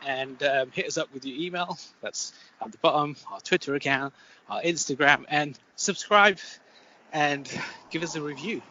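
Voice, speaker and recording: A man's voice: a little high, normal speed, bright, forceful tone. A man co-hosting a podcast and chatting casually about games. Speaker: podcast co-host. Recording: dull lo-fi sound with faint noise.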